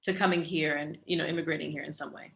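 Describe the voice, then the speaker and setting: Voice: medium pitch, fairly even intonation, fast talker, vocal fry, a bit bright. A podcast host asking curious questions and encouraging the guest with frequent murmurs of agreement. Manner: curious, encouraging, many back-channel murmurs. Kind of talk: casual interview chat.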